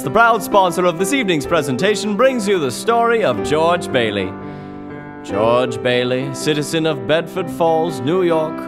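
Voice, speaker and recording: A showy vintage radio announcer played by a man, theatrical and swooping in pitch. The voice sits high for a man, over clear music. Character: grand old-time male radio announcer and narrator. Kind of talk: 1940s radio announcing, scripted narration.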